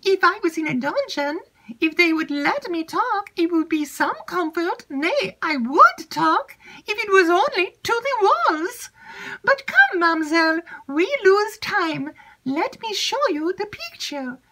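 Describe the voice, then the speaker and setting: A woman voicing an excitable, gossipy young female servant who chatters breathlessly. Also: very high voice, unhurried, voice pushed up, full character voice. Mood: excited.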